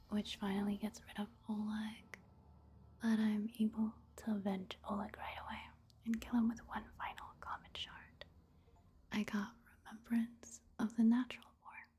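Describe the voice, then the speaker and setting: A woman giving soft-spoken ASMR game commentary in a hushed, breathy whisper, in a gentle, casual, unhurried manner. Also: mid-range voice, slight background noise. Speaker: gaming ASMR video host.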